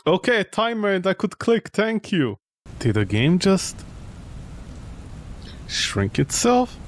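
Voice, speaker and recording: A man's voice: high voice, sing-song, slow. A man doing live game commentary, talking to himself in a sarcastic, exclamatory way. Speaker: gaming streamer.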